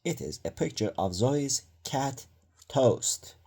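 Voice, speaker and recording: A man's voice: medium pitch, lively intonation, slow, mellow, slightly rough. A man reading a children's bedtime story aloud in a careful manner, stumbling now and then over words.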